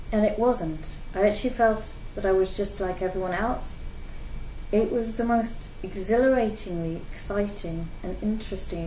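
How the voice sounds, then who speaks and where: A woman telling a personal story to camera in an earnest, expressive way, as a theatre-goer. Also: mid-range voice, a little hiss or hum. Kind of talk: personal account told to camera. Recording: echoey room, mic at a distance.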